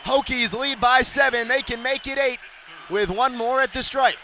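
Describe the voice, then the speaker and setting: A young man calling radio basketball play-by-play, rattling off plays and shouting on big moments. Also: extremely high pitch, a little hiss or hum. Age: young adult. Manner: rapid excited calls, shouting on big plays. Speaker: play-by-play announcer.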